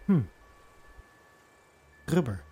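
A man narrating an audio drama in scripted, suspenseful short phrases with long pauses. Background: music.

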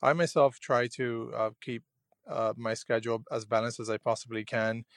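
A young man from Cuba talks earnestly and haltingly, stopping and starting often. His voice stays level and even, with little rise and fall. He sounds close to the mic in a dry, dead room. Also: mid-range voice, no echo. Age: young adult. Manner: hesitant and earnest, many fillers and restarts. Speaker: junior physician. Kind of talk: personal radio diary.

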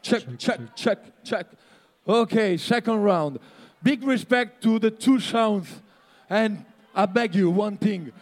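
Guy hosting a clash, talks slow, pretty high voice, polite announcements over the pa. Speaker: soundclash host. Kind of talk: event announcing. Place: soundclash venue.

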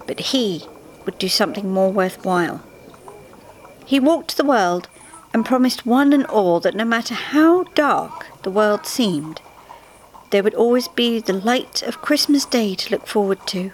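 A woman reading a scripted story to a live audience in a measured, dramatic way. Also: mid-range voice, animated, poor audio. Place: theatre stage, live reading. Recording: live theatre recording with faint background noise.

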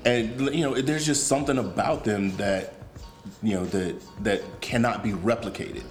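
Podcast host, man, relaxed, conversational, leans on "like" and "you know". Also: lowish voice, moderate pace, soft background music. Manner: chatty, agreeable, many fillers and "like"s. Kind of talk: casual conversation.

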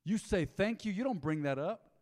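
A man, preaching a sermon in a folksy storytelling way, dropping to low asides and rising to loud emphatic bursts. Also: lively intonation, moderate pace, long reverb tail. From Texas. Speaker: pastor. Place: church sanctuary.

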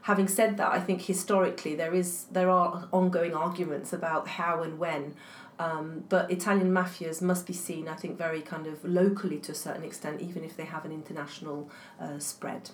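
Low-voiced woman, thoughtful with fillers. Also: little pitch movement, a little fast. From England. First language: French. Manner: thoughtful, many fillers and restarts. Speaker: guest academic. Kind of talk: interview answers. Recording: echoey room, faint noise.

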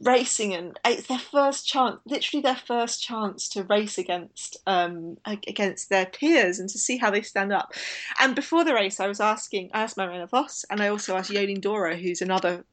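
A woman's voice: medium pitch, normal speed, fairly bright tone, poor audio. A woman co-hosting a casual podcast, talking in a chatty, digressive way. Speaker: podcast co-host. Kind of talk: casual chat podcast about women's cycling.

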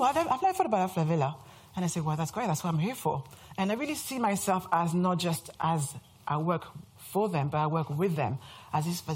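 A woman's voice: lowish voice, slightly rough. A French woman in her thirties with a French accent, giving a chatty, self-mocking talk to a live audience. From France. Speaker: speaker presenting to an audience. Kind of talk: lively presentation talk. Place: event room before a live audience.

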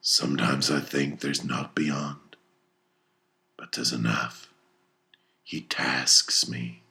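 A man voicing an obsessed, domineering old whaling captain in a storybook reading, gravelly, brooding and grandiose. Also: very deep voice, fairly even intonation, slow pace, sharp tone, exaggerated voice. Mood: intense, brooding. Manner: grandiose, archaic, commanding. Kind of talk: dramatic audiobook character reading.

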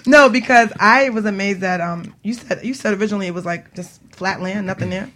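A woman's voice: medium pitch, brisk, fairly bright tone. A woman hosting a casual interview, informal and curious, with an enthusiastic way of talking. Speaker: host.

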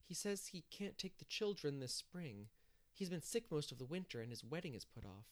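A man voicing an earnest young woman schoolteacher in a storybook, eager, proper and gently firm. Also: on the high side, big pitch swings, normal speed, pitched above the performer's own voice. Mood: excited.

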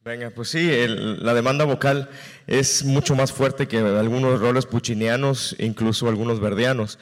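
A man who sings tenor, talking frankly on a post-show panel with lively asides. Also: mid-range voice, moderate pace. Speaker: opera tenor. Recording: reverberant hall.

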